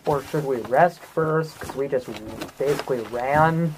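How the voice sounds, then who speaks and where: A woman's voice: deep voice, measured pace, a little mellow, slight background noise, poor audio. A woman chatting casually as a player in a tabletop game.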